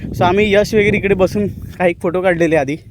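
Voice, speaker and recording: A man's voice: sits high, steady tone, a little fast, a little hiss or hum. A young man talking casually to viewers as a vlogger, switching between languages and repeating himself. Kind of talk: casual vlog chat to viewers. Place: mostly outdoors in windy countryside.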